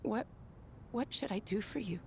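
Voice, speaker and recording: A woman playing an earnest, vulnerable young desert warrior in a video game, speaking haltingly. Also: medium pitch, creaky, a little mellow, slight background noise, natural voice. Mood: pleading. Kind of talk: dramatic video game acting. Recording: faint game sound behind voice.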